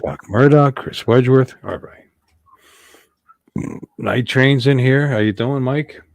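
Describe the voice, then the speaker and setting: A man's voice: mid-range voice, takes it slowly, creaky, no echo. A middle-aged man hosting a casual livestream chat in a playful, rambling, joking way. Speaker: livestream host.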